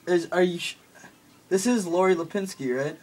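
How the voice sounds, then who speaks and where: A young American man talking casually and haltingly, as on an improvised prank phone call, recorded on a camera phone in a small room. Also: high voice, darkish tone. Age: teens or young adult. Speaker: prank caller. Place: small room at a desk, indoors. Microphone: camera phone at arm's length. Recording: noisy amateur phone video with clicks.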